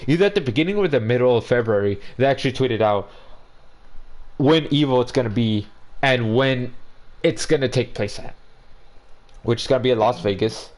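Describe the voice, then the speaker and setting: A young man co-hosting a casual gaming podcast. He rambles, repeats himself and restarts sentences. His pitch sits in an ordinary middle range. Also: lively intonation, moderate pace, pauses often. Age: 20s. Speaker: podcast co-host. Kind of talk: casual chat.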